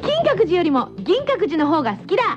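A woman co-hosting a lively radio variety show, teasing and quick to laugh. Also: extremely high pitch. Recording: muffled radio recording over music.

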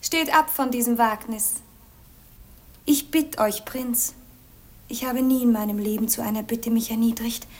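An actress playing a proud young princess in a German radio play, speaking in a solemn, declamatory manner. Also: female voice, fairly high pitch, fairly even intonation, a little slow, long pauses, echoey room, natural voice. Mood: pleading, restrained. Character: proud, imperious young Chinese princess posing deadly riddles.

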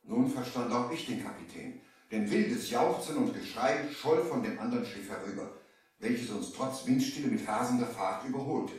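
A man's voice: mid-range voice, moderate pace, darkish tone, natural voice. A man playing a young merchant who narrates his sea adventure theatrically in a 1970s children's radio play. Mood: narrating, suspenseful. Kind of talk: dramatic scripted storytelling in 1970s radio play. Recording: very echoey, slightly distant vinyl transfer.

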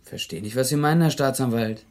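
A man's voice: medium pitch, fairly quick, a little mellow, close to an everyday voice. A man acting an evasive, cocky drifter accused of murder in a German radio drama, terse and mock-polite. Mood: flippant, evasive.

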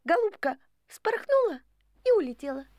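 A woman narrating animal fables in an expressive storybook style. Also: very high voice, moderate pace, loud music behind the voice.

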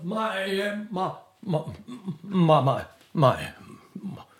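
A man's voice: high-pitched, lively intonation, very slow, voice pushed up, heavily acted. A man voicing a stammering rustic railway keeper with Austrian dialect in a radio play. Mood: flustered. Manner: stammering, repeating words.

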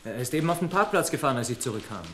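Man acting a cheeky young office junior, lively intonation. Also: medium pitch, very fast, a little hiss or hum, lightly acted. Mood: sheepish. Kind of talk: German radio drama acting.

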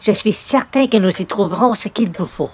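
A man's voice: high-pitched, lively intonation, moderate pace, a little mellow. A devout, gullible doorstep visitor from a radio comedy. He speaks with fussy, ceremonious politeness and breaks easily into exclamation. Faint noise sits behind the voice. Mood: eager. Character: overly polite, pious, naive male religious door-to-door visitor. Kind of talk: old-time radio comedy acting.

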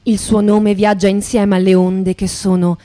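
A woman's voice: medium pitch, flat delivery, measured pace, mellow. A woman performer giving a dramatic literary reading in the style of radio theatre. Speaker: stage performer. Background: music.

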